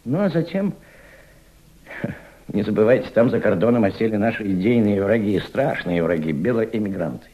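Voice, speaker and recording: Grave paternal Soviet statesman, low mellow voice, very expressive intonation, weighty phrasing, Russian radio play. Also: moderate pace, husky. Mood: worried, emphatic. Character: grave, worried senior Soviet statesman, speaking paternally to a colleague. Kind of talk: Russian radio drama acting. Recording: dull, lo-fi old radio play recording.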